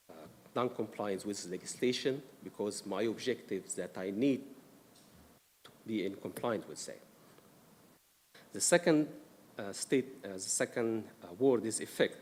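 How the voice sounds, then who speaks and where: A man's voice: on the low side, darkish tone. A man from Egypt with an Egyptian accent, presenting a risk management workshop to a council, explaining carefully with frequent "uh" fillers. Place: council meeting room. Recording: distant mic in a reverberant room with noise.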